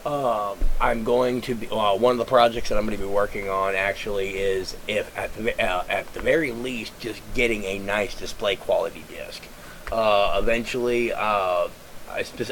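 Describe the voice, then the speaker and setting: A man with a raspy, congested voice, talking casually into his webcam and rambling through ideas with many fillers and restarts. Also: on the low side, fairly bright tone, slight background noise, poor audio. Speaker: vlogger.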